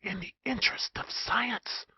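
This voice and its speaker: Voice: medium pitch, unhurried, pushed and bright, breathy edge, no echo, highs cut off, pitched above the performer's own voice, heavily acted. A narrator voicing a grave, stoic older uncle in a comic audiobook, solemn and declaiming. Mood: hushed resolve. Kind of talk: comic audiobook character acting.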